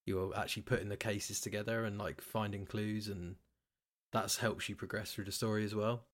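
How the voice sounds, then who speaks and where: A British man hosting a podcast in casual chat about games and films. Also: lowish voice. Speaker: podcast host.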